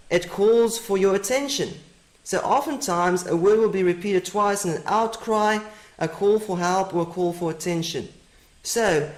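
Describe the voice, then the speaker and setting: Presenter, Afrikaans native, higher male voice, methodical and repetitive, echoey room. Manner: methodical, repeats key points. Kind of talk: teaching presentation. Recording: roomy echo.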